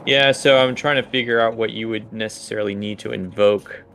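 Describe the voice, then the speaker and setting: Gravelly man thinking aloud as game master. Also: fairly low pitch, fairly even intonation, fairly quick, a bit bright, a little hiss or hum. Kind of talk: improvised tabletop game narration and rules talk.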